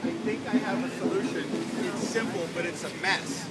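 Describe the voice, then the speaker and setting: A man's voice: high voice, moderate pace. A man at an outdoor meeting, earnestly explaining a political proposal to the crowd. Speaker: audience member. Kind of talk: political argument at a public meeting. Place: outdoor public gathering. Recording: distant mic, loud outdoor noise.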